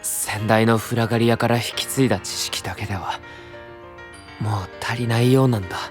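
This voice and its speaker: A man's voice: lowish voice, fairly even intonation, a little fast, over music, lightly acted. An actor playing a serious, burdened young male knight, grave and restrained, in a fantasy voice drama. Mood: polite, earnest.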